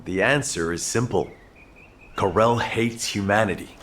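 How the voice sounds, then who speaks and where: A man playing an earnest, resolute military strategist in a video game cutscene, speaking in a solemn, measured way. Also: fairly low pitch, a bit bright, a little hiss or hum. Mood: grave, determined.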